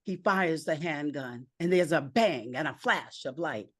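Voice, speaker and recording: Woman narrator reading a script, really slow and deliberate, dry close sound. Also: low-pitched, expressive, no echo. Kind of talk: scripted narration, screenplay read aloud.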